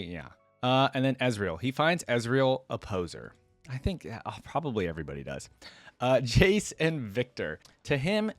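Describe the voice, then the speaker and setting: A man's voice: medium pitch, no echo. A man hosting a reaction video, reading game lore aloud and dropping in casual, informal opinions of his own.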